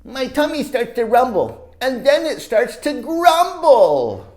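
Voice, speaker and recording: Storybook schoolboy played by a woman: excitable, self-important, high-pitched, swooping delivery, roomy sound. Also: slow pace, heavily acted. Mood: self-important, earnest. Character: excitable, impatient young schoolboy who blurts everything out. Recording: roomy echo.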